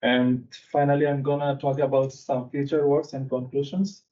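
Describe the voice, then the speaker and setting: A young man from Bangladesh presenting a technical seminar in a conference room, talking haltingly with frequent fillers. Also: mid-range voice, level tone, warm tone. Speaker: seminar speaker. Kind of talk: technical lecture. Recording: distant mic, dull sound.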